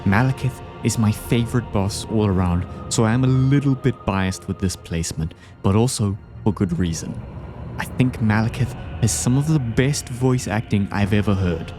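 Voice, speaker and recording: A man's voice: fairly low pitch, normal speed. A man giving enthusiastic, scripted video essay commentary, ranking game bosses. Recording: game music behind the voice. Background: music.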